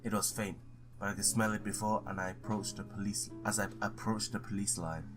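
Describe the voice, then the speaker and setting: Man reading as low-voiced hard-boiled detective over music. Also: little pitch movement, brisk, lightly acted. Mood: brooding narration. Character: hard-boiled male ex-cop private detective, wry and reassuring. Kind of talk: noir narration read from a visual novel.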